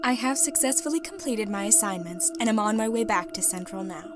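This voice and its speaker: A woman's voice: mid-range voice, expressive, natural voice. Woman acting as composed sci-fi secret agent over music. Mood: composed, formal. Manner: formal, measured reporting. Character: composed, ruthless female secret agent in science fiction. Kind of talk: scripted dramatic reading, mission debrief. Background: background music.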